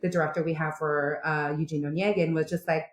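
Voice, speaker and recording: A Canadian woman with a slightly low voice talks animatedly as a guest on a podcast. Her speech is loose and chatty, packed with fillers. The recording sounds roomy and echoey. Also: level tone, a little fast. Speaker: opera singer guest. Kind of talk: casual podcast interview. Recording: roomy echo.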